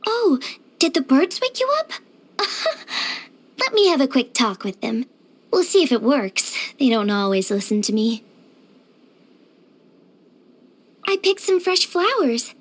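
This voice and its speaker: A woman playing a cheerful, easygoing young craftswoman in a video game, with a gentle, chirpy, earnest manner. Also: high voice, sing-song, unhurried. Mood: friendly, chatty. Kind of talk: video game character voice acting.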